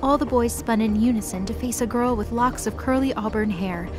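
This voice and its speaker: A woman's voice: fairly high pitch, brisk, over music. A woman narrator reading a scripted fantasy story.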